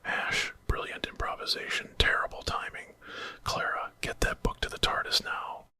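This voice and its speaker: Man playing a time-traveling alien hero, quick-witted, commanding, urgent, bright breathy whisper, ASMR storybook. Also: dry recording.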